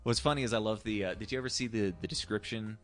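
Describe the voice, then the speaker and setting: A man chatting casually as a podcast co-host, retelling a plot haltingly with some swearing. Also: on the low side. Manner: hesitant, halting, profane.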